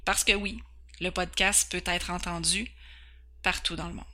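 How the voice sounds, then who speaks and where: Bright-voiced Québécois woman host. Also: lowish voice, level tone, a little slow. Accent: Québécois French. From Quebec, Canada. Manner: earnest, candid, occasionally stumbling over words. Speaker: podcast host. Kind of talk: personal introduction.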